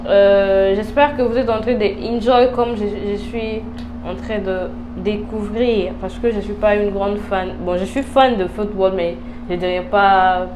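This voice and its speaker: Woman, Congolese French accent, mid-range voice, casual interviewer, halting phrasing, English words mixed in, noisy background. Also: medium pitch, steady tone. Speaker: host, interviewer. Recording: clear background noise.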